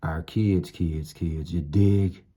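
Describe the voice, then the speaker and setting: Low mellow guy preaching real blunt and slangy, repeats himself to drive it home. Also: steady tone, takes it slowly. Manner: blunt, emphatic, repeats phrases for emphasis, streetwise slang. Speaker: street-style preacher. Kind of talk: informal sermon, exhortation.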